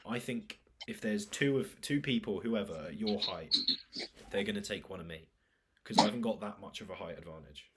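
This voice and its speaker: A man's voice: fairly low pitch, normal speed, background noise. A man, co-hosting a podcast and joking through casual banter. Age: 20s. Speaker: podcast co-host. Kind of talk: casual chat.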